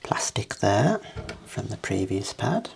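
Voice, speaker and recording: A man whispering gently and breathily in an ASMR roleplay as an optician, reassuring as he works. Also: fairly low pitch, very animated, gravelly, slight background noise. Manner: gentle, unhurried, reassuring running commentary on hands-on work. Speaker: ASMR performer playing an optician.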